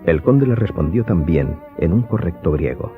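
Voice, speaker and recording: A man's voice: sits low, animated, normal speed. A man narrating an audiobook drama from a script in a formal, literary manner. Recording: thin muffled phone-like sound, faint music.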